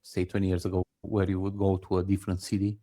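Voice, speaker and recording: A man's voice: low-pitched, monotone, quick pace, dark tone. Romanian-accented man, wry podcast co-host. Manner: skeptical, wry asides. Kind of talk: casual podcast discussion.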